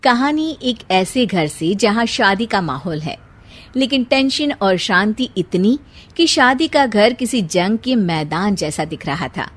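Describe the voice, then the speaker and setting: A woman's voice: medium pitch, lively intonation, unhurried. A woman narrating a scripted moral family story.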